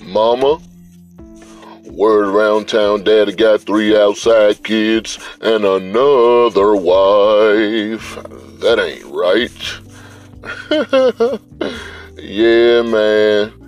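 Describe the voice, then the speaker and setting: A man's voice: on the low side, fairly even intonation, extremely slow, pauses often, clear, resonant tone, soft background music. A man with a Southern US accent hosting a podcast in a casual, unscripted monologue, blunt, slangy and swearing heavily. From US South, Atlanta area. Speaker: podcast host.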